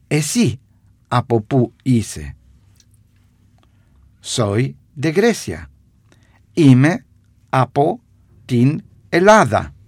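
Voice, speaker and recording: A man giving a radio language lesson, repeating phrases slowly with hesitant fillers. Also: mid-range voice, creaky, no room sound. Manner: slow, repeats phrases for dictation; stumbling fillers.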